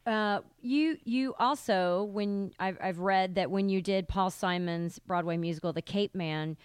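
A woman's voice: medium pitch, a little slow, smooth, no echo, studio quality. A woman hosting an interview, asking upbeat, eager questions in casual chat. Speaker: interview host.

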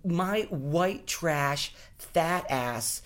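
A woman from Rhode Island, talking politics in a blunt, animated way and swearing freely. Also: sits low, drawn-out words. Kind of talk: casual political chat.

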